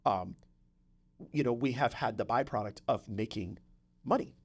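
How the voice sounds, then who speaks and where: A male podcast host with a mid-pitched voice talks in a conversational, motivational way. He drives points home by repeating phrases and keeps checking in with short tags like "right?" and "okay?". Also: normal speed, slightly rough.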